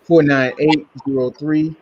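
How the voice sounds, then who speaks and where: A man's voice: on the high side, little pitch movement, unhurried, a little hiss or hum. A man hosting a community podcast and wrapping up a panel talk, with many fillers and repeated words. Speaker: podcast host. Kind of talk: closing a panel discussion. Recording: dull lo-fi sound.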